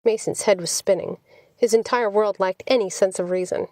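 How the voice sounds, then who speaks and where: A woman's voice: mid-range voice, moderate pace, dark tone, no echo. A woman with an American accent reading a scripted story as an audiobook narrator. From United States.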